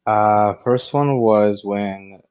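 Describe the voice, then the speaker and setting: A man's voice: lowish voice, very slow, a little mellow, clear, resonant tone, no room sound. A man co-hosting a podcast in casual chat, heard on a dull, lo-fi connection. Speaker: podcast co-host.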